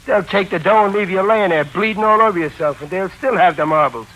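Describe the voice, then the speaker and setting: A man's voice: high voice, a little fast, fairly bright tone. An actor playing a blunt, loyal tough-guy sidekick who talks in streetwise slang, in 1940s radio style. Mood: blunt, scoffing. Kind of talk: 1940s radio comedy-noir acting. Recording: noisy vintage 1940s radio broadcast.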